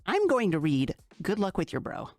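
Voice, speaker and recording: A woman's voice: fairly low pitch, wildly swooping pitch, normal speed, a little mellow, dry recording. A woman voicing a skeptical, exasperated teenage boy in a fan-dubbed comic chat, dry, wordy and argumentative. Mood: teasing. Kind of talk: fandub of a webcomic chat. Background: music.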